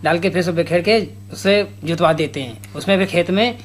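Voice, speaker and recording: A man's voice: sits high, normal speed. A man in his twenties, a farmer guest, speaking in a plain and slightly hesitant way. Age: 20s. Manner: plain, hesitant rural speech.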